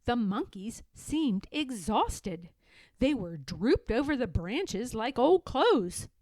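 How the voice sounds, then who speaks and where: Female storyteller, children's read-aloud, unhurried, lively swooping intonation, dramatic emphasis. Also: a little high, dry recording.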